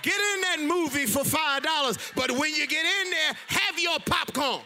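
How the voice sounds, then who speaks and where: A man preaching a sermon in a church, emphatic and loud, mixing humor with exhortation. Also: very high voice, normal speed, slight background noise. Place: church sanctuary.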